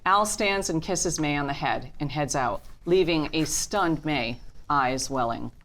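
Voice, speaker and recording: Woman reading stage directions, pretty deep voice, script narration at a table read. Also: expressive, measured pace, a bit bright, poor audio.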